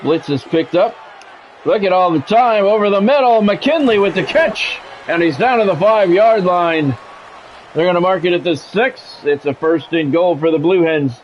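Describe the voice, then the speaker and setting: A man with a slightly high-set voice does live play-by-play for a football video game. He moves between clipped announcer calls and casual muttered asides. The sound is a bit dull, with faint noise behind him. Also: expressive, normal speed. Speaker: game streamer calling play-by-play. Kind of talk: live sports commentary over a football video game, mixed with casual remarks.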